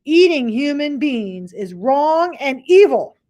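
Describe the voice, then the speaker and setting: A woman doing a gentle, coaxing old giant. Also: high voice, big pitch swings, takes it slowly, pitched above the performer's own voice, heavily acted. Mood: earnest. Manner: earnest, coaxing, mangled grammar.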